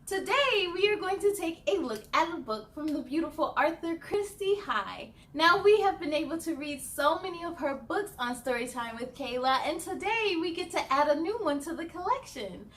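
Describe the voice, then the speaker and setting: High-pitched woman reading for children. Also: moderate pace, hardly any pauses. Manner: cheerful, encouraging, expressive. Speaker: host of a children's read-aloud show. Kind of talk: animated children's storytime reading.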